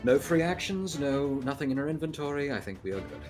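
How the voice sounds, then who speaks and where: A man's voice: a little high, very animated, fast, a little mellow, faint music behind. A man chatting and joking casually as a player in a tabletop game.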